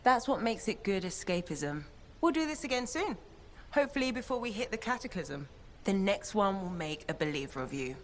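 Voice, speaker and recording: A kind, studious young woman from a video game, as a woman voices her. She speaks in a measured, explanatory way, careful and gentle, with an ordinary mid-pitched voice. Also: very animated, a little hiss or hum, natural voice. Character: thoughtful, kind young female scholar.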